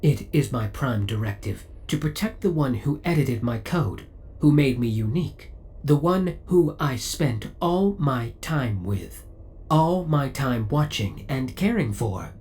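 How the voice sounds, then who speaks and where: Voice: medium pitch, animated, measured pace, a little mellow, a little hiss or hum. An actor voicing a possessive, devoted spaceship AI in an audio roleplay, speaking in a formal, precise, clinical and politely menacing way. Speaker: voice actor playing a ship's AI. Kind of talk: scripted ASMR audio roleplay acting.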